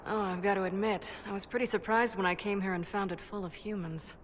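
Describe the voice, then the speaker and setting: A woman's voice: mid-range voice, fairly even intonation, brisk, natural voice. A woman playing a brash, defensive female dwarf inventor in a video game, blunt and wry. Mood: wry, casual. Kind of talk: video game cutscene acting. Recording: echoey cavern reverb, background noise.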